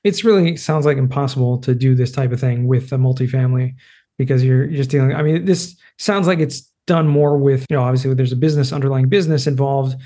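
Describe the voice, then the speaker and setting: A man's voice: mid-range voice, fast talker, dark tone. A man hosting a casual interview podcast, asking rambling questions with restarts and easy laughter. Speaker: podcast host.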